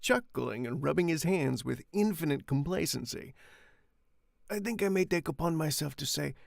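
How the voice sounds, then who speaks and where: A man's voice: on the high side, animated, moderate pace, gravelly, no room sound, studio quality. A man voicing a fawning, self-satisfied old Italian innkeeper with a put-on Italian accent and a formal, obsequious manner. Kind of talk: audiobook character reading.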